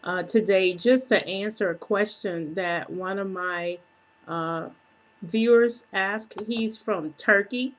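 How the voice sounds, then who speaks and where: A woman talks slowly and casually to her viewers while she walks them through her screen. She often stops to clear her throat, pauses on fillers and corrects herself as she goes. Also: mid-range voice. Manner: unhurried, self-correcting, conversational. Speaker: prophetic minister and video channel host.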